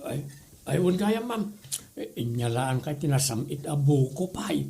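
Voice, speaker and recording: A man's voice: a little high, big pitch swings, measured pace, gravelly, warm tone, slight background noise, exaggerated voice. A man playing a flirtatious middle-aged uncle in a comedy audio drama, cajoling and teasing with a Northern Philippine accent.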